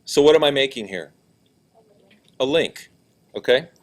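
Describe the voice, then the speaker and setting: A man teaching a class, talking casually in a loud, projecting voice and often checking in with students while he walks around the classroom. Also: medium pitch, expressive, unhurried. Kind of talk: classroom lesson, walking around.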